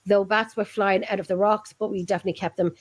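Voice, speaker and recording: A woman's voice: medium pitch, little pitch movement, quick pace. A woman with an Irish accent, hosting a casual group chat and laughing easily as she talks. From Ireland. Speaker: host.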